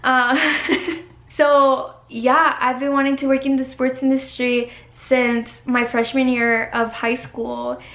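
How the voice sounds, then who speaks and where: A woman's voice: sits high, level tone, slow, fairly bright tone, roomy sound. A young woman, chatting casually to camera from her bedroom while getting over the flu, giggly and full of "like". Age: 20s. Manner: chatty, giggly, uses "like" and "y'all". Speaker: vlogger, college student.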